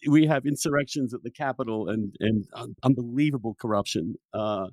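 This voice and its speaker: A man's voice: mid-range voice, fairly quick, a little mellow, no echo. A middle-aged or older man with an English accent hosts a conversational interview, often repeating words, restarting and wandering off his point. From England. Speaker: podcast host and interviewer.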